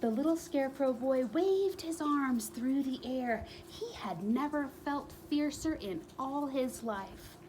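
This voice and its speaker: A woman reading a children's book aloud as a storyteller, expressively, with dramatic pauses and emphatic repetition. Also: high-pitched, animated, darkish tone, a little hiss or hum, rough recording.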